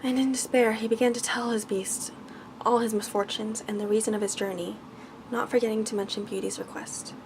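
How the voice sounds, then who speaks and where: A woman's voice: on the high side, fairly even intonation, brisk. A woman reading a bedtime story aloud in ASMR style as a storyteller. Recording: clearly noisy background ambience.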